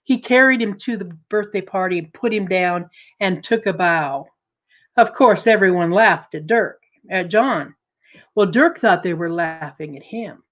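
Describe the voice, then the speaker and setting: A woman telling a story dramatically to an audience, deliberate and emphatic, building suspense. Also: fairly low pitch, a bit bright, dull audio.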